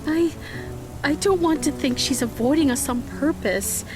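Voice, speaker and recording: A woman's voice: high-pitched, measured pace. A woman playing a worried mother in an audio drama, speaking in an earnest, anxious manner. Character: worried mother of a teenage girl. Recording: music behind the voice.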